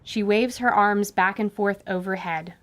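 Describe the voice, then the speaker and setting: A woman narrating a fantasy radio drama with lively storytelling. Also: medium pitch, unhurried.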